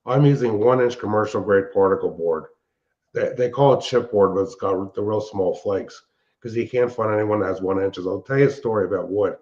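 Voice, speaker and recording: A man's voice: lowish voice, brisk, a little mellow. An older man chatting casually and technically, explaining things in a rambling way as a show host and hobbyist. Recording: dull, band-limited sound.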